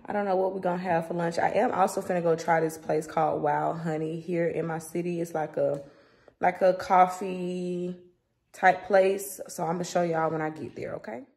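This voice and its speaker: Young woman, low voice, Southern US accent, casual slangy vlog talk, phone at arm's length. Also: fairly even intonation, moderate pace, a little mellow. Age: young adult. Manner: informal, slangy. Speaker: vlogger. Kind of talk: casual vlog chat to camera. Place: rooms at home and a parked car.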